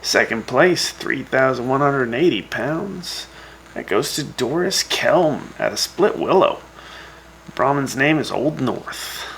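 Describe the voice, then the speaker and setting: A man playing a laid-back, folksy host of a post-apocalyptic wasteland radio show, giving news in a casual, unhurried way. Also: medium pitch, expressive, gravelly, a bit bright, a little hiss or hum, exaggerated voice, poor audio. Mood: casual, reporting.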